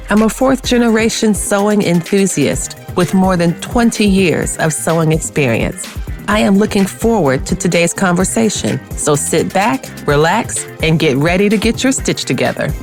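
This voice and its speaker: A woman's voice: on the low side, expressive, normal speed, a little mellow, faint music behind. A woman hosting a podcast, reading a scripted introduction. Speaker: podcast host.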